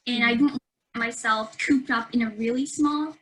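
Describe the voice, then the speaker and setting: A young woman from the Philippines talks about her studies in a chatty, easygoing way. Her voice is bright and a little high. She fills her talk with small pauses and fillers. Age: young adult. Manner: chatty, with fillers and light laughs. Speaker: university student. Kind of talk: casual webinar introduction.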